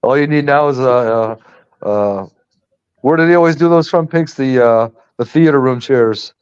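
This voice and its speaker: Relaxed guy on the car stream, smooth voice, ribbing the host, lo-fi call audio. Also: mid-range voice, moderate pace. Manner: relaxed, teasing. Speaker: livestream guest. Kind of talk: casual car chat.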